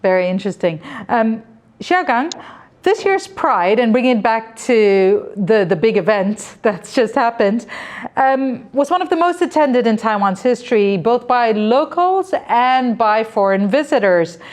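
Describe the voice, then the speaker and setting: A middle-aged woman hosting a TV interview show in a studio, asking questions smoothly. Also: fairly high pitch, expressive, unhurried. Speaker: host.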